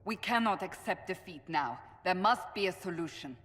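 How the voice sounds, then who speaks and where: A woman voicing an unyielding, righteous warrior in a video game, emphatic and forceful. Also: lowish voice, lively intonation, normal speed. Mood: defiant. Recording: reverberant stone hall.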